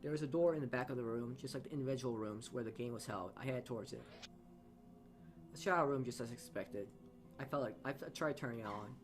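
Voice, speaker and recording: Male let's player, mid-pitched, narrating visual novel text live, tripping over words and rereading, music clearly behind him. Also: fast talker. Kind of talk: live read-aloud narration with side comments.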